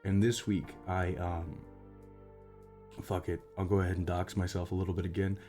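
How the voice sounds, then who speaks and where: An American man chatting casually and unscripted to his audience, halting and rambling, with self-deprecating, sweary asides. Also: low voice, level tone, mellow, soft background music. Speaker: voice actor, channel creator. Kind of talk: casual unscripted outro chat.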